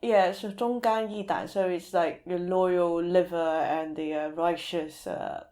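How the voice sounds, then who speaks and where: A woman speaking as a podcast guest, giving thoughtful conversational answers in a halting way as she searches for words. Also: on the low side, steady tone, dark tone.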